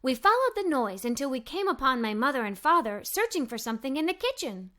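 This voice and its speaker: Woman reading a kids story out loud, really high voice, super expressive. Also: a little fast. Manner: animated, expressive. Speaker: storybook narrator. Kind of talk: scripted children's story read-aloud.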